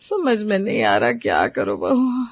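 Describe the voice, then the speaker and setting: A woman's voice: very deep voice, wildly swooping pitch, measured pace, husky, no room sound, dull audio, pitched below the performer's own voice, heavily acted. A woman voicing an older mother-in-law who is stubborn and scornful, with a whining, grumbling delivery in a story. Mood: suffering, whining.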